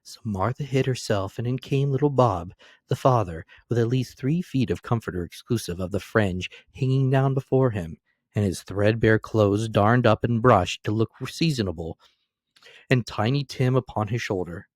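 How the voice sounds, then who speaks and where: A man narrating a classic story as a dramatic reading, expressive and theatrical. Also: fairly low pitch, normal speed, dry recording, clean studio sound.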